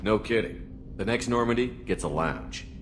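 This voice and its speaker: Guy doing calm direct army commander for a game, low voice, echoey with noise behind. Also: moderate pace, lightly acted. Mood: casual, concerned. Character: steady, probing male human military commander. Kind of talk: video game character acting.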